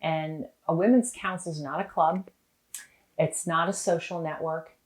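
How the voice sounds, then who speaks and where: A woman who leads a building trade association talks to camera. Her voice sits a little low, and she speaks in a measured, purposeful way. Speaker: association executive officer. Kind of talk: interview to camera.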